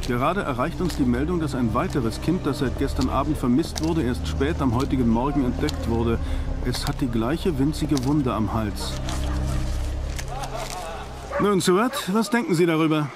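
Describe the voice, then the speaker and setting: An actor playing a learned, insistent professor and vampire hunter in a radio play, with probing, emphatic delivery. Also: male voice, medium pitch, lively intonation, normal speed, close to an everyday voice. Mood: reading aloud. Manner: probing, emphatic, rhetorical questioning. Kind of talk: German radio play acting. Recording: radio play with loud background music.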